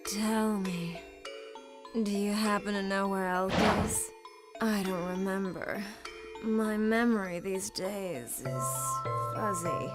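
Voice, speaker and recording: A woman playing a gentle, forgetful cat-like character in an anime video game, with a dreamy, wistful delivery. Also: medium pitch, slow, natural voice. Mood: dreamy, puzzled. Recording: loud music under the voice.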